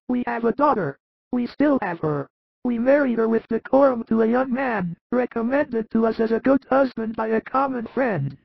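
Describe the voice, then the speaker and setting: A man voicing a humble, despairing older farmer in a Bible story, pleading sorrowfully in a strained, creaky voice. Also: extremely high pitch, dry recording, lo-fi sound, pitched above the performer's own voice, heavily acted.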